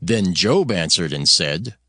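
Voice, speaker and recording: A man's voice: deep voice, lively intonation, slow pace, sharp tone, dry recording, clean studio sound. A man narrating scripture from a script.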